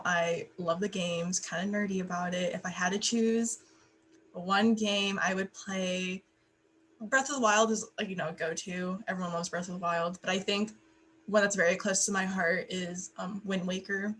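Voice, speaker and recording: A woman's voice: mid-range voice, steady tone, moderate pace, fairly bright tone, a little hiss or hum. Chatty young woman, rambling co-host. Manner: chatty, rambling, with many fillers. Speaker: podcast co-host. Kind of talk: casual chat. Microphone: video call.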